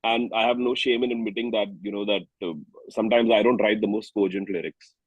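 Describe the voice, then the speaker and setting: A man with an Indian accent speaking reflectively as an interviewed singer-songwriter, haltingly and often rephrasing himself. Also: fairly low pitch, steady tone, husky, no echo, lo-fi sound. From New Delhi, India.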